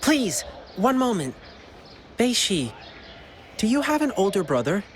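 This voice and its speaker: Lively, earnest young male hero. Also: high voice, measured pace. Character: earnest, eager, helpful young male lion dancer. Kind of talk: video game voice acting. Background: music behind the voice.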